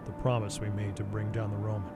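Low, brooding vigilante played by a man. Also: monotone, quick pace, close to an everyday voice. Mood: grave, regretful. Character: brooding, weary masked vigilante detective. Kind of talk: radio noir audio drama acting. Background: prominent music.

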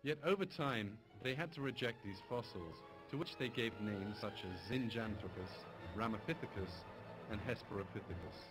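A man with a low voice gives measured, formal documentary narration. Music plays clearly under his voice throughout. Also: low-quality recording. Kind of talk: scripted narration. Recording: documentary audio over music.

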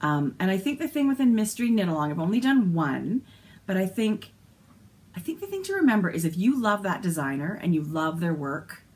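Excited canadian woman in her 40s gushing about projects, voice jumps up and down a lot. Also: mid-range voice, fairly quick. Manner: enthusiastic, talks in bursts with restarts. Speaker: podcast co-host. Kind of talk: casual chat. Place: indoor yarn-shelved craft room.

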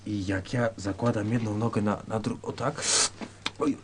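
A man's voice: on the low side, fairly even intonation, a little hiss or hum, natural voice. A man playing a hesitant, whiny patient in a comic radio sketch, stammering as he explains his complaint. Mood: timid. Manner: halting, stammering. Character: hesitant, whiny male patient, timid and insistent.

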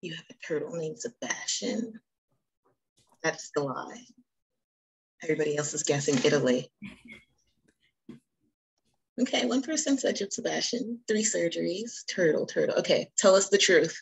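Husky, low-pitched woman hosting. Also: expressive, moderate pace, rough recording. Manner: playful, reacts aloud, urges people on. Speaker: host of a virtual icebreaker session. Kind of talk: casual group video-call hosting. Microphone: video call.

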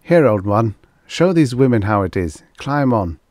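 A man's voice: medium pitch, very animated, unhurried, a little mellow, no room sound, studio quality, pitched above the performer's own voice. A man voicing a bossy, visionary primitive man in a storybook, commanding and declamatory.

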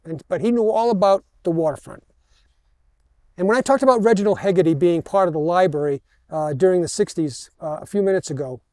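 Older male tour guide, American. Higher-pitched, relaxed tone, halting storyteller, headset mic outdoors with no echo. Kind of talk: informal guided history talk. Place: outdoors in an open parking lot.

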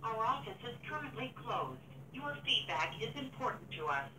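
A woman's voice: a little high, big pitch swings, normal speed. Woman's recorded phone greeting, noisy and distant. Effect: telephone speaker. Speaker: automated phone line announcer. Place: played over a phone in a room. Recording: noisy, roomy phone speaker, off-mic.

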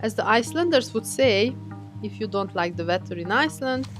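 Young woman, steady travel vlog narrator, middle-pitched voice, faint music bed. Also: a little fast. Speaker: travel vlogger.

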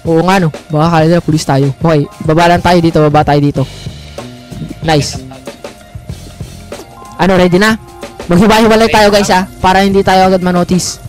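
A woman's voice: lowish voice, steady tone, fairly quick, pauses often, darkish tone, faint music behind. A woman with a Filipino accent improvising a game roleplay as group leader, often repeating words in bursts. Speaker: gamer leading a roleplay group.

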